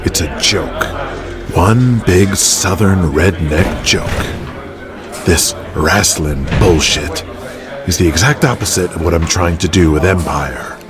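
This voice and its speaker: An actor playing an arrogant, menacing wrestling mogul who sneers and domineers in an audio drama. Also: male voice, sits low, takes it slowly, crowd noise. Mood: contemptuous. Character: arrogant, menacing, lecherous male wrestling mogul, cruelly dismissive.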